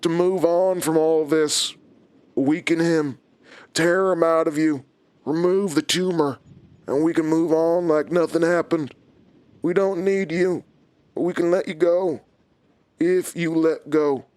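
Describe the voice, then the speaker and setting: An actor playing a calm, menacing inhuman villain in an audio drama, with a deliberate, taunting manner. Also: male voice, a little high, clear, resonant tone, dry recording, lightly acted. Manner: slow, deliberate, controlled, taunting.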